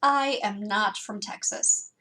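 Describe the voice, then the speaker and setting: A young woman from Wisconsin, speaking with a Wisconsin accent, a librarian giving a scripted lesson in a lively, self-teasing way full of questions. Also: medium pitch, slow. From Wisconsin, USA. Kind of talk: scripted instructional narration.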